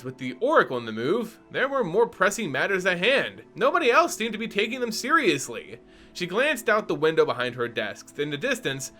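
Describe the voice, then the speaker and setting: A man hosting a fantasy lore channel who gives an expressive dramatic story reading, then commentary with playful teacher asides, over soft guitar music. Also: fairly high pitch. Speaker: lore channel host and narrator.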